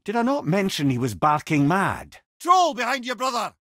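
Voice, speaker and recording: An actor playing a wry old sage in a video game, an eloquent, theatrical storyteller with a Scottish accent. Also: male voice, high voice, very animated, normal speed, sharp tone, dry recording, studio quality, heavily acted. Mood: urgent warning.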